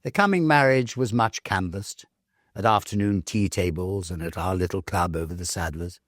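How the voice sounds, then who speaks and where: A man reading a Victorian story aloud, measured and expressive, with dramatic pauses. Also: sits low, dry recording, clean studio sound. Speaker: story reader, narrator. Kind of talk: dramatic literary narration of a Victorian ghost story.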